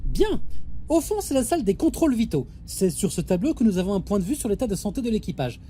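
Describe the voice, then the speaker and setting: Female space captain played by a woman, soft-toned yet authoritative, no time for nonsense, audio drama. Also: mid-range voice, big pitch swings, fast, a little hiss or hum, natural voice. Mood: instructive, patient. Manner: authoritative, matter-of-fact, dismissive of nonsense. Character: brisk, busy female space station captain. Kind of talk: French audio drama acting.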